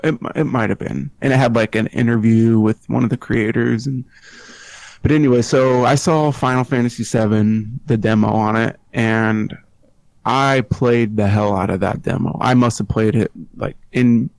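A man reminiscing casually on a podcast, pausing often with fillers as he searches for words. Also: mid-range voice, fairly even intonation, vocal fry, darkish tone, dry recording, rough recording. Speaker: podcast guest.

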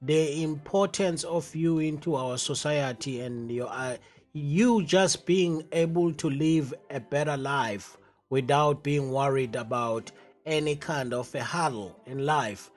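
Man, radio host, enthusiastic, stumbling restarts, slightly higher pitch, faint music behind. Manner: enthusiastic, halting with many fillers. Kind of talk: radio interview.

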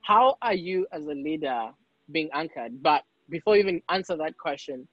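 A man's voice: on the high side, lively intonation, normal speed, dry recording, muffled recording, faint music behind. A man from Kenya hosting a podcast, telling a story and asking thoughtful questions. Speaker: podcast host.

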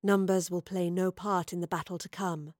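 A woman voicing a solemn, accusing female soothsayer in a story, with a husky voice and a grave, prophetic delivery. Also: on the low side, steady tone, moderate pace, a little mellow, dry recording, clean studio sound, close to an everyday voice. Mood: grave, foreboding.

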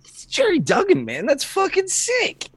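A man co-hosting a podcast in casual chat, rambling in an animated, opinionated and sweary way. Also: very high voice, measured pace. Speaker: comic book podcast co-host.

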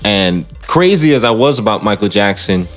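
A man's voice: on the low side, lively intonation, normal speed, a bit bright, faint music behind. A man talking casually as an interview guest, explaining and telling stories in an emphatic way, often repeating phrases. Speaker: guest musician, singer and dancer.

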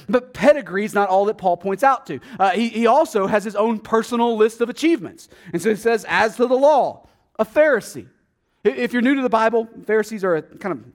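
High-voiced pastor preaching conversationally. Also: male voice. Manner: conversational, asks the congregation rhetorical questions; dramatic swings in loudness and pitch for emphasis. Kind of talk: sermon.

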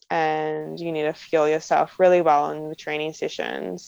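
A woman's voice: deep voice, gravelly, darkish tone, smooth, no room sound. A young woman with a strong New Zealand accent answering interview questions casually, full of "like" fillers and light laughs. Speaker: professional cyclist guest. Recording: slightly dull lo-fi sound.

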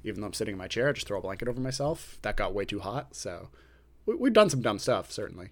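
A man co-hosting a podcast about a writing contest, talking slowly and deliberately, pausing rather than using fillers. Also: lowish voice, big pitch swings. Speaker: podcast co-host. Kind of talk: casual podcast chat. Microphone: podcast microphone.

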